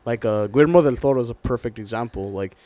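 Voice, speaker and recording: A young man from Southern California talks casually as a podcast host among friends. His mid-pitched voice carries plenty of slang and swearing, and the sound is dry with little room to it. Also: quick pace, mellow, dry recording. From Southern California, United States. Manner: profane, slangy, fond of filler phrases.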